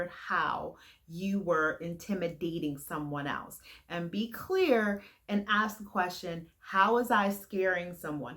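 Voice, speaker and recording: A young adult woman giving frank, earnest advice to camera in a heavy, loud voice that carries. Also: fairly low pitch. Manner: frank and earnest, with fillers and restarts. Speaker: vlogger giving career advice.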